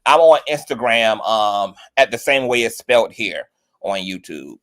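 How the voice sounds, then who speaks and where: A man's voice: fairly low pitch, animated, moderate pace, fairly bright tone. Sassy man hosting a livestream. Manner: sassy, calls everyone girl and honey. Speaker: host of a commentary livestream. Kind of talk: casual chat with viewers.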